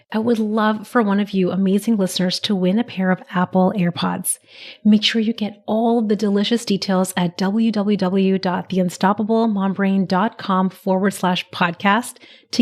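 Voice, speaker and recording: A woman in her thirties hosting a solo podcast, her voice a little raspy from a cold, speaking in a gentle, encouraging coaching manner. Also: medium pitch. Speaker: podcast host.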